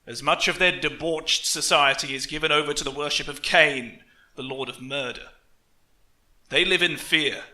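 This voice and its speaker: A man's voice: fairly high pitch, sharp tone. A man playing a grave, sorrowful elf, solemn and ominous in a theatrical fantasy monologue.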